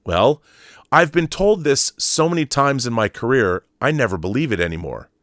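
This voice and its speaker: A man's voice: medium pitch, lively intonation, moderate pace, a bit bright, no echo, studio quality. A man hosting an online show, reading an article aloud in an emphatic storytelling way and adding explanatory asides.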